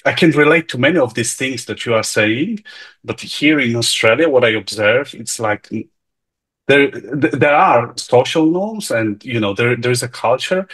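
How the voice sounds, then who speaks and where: A man's voice: medium pitch, fairly bright tone, slightly rough. A man from Poland with a Polish accent, speaking up in a discussion in a chatty way, with some stammered restarts. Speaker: participant. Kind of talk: casual discussion.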